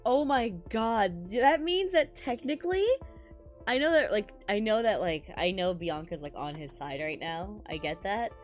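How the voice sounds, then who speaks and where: Woman game streamer, lively swings in pitch, slangy casual reactions, light game music underneath. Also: a little slow. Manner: slangy, exclamatory, swearing. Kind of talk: casual gameplay reaction commentary. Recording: music behind the voice.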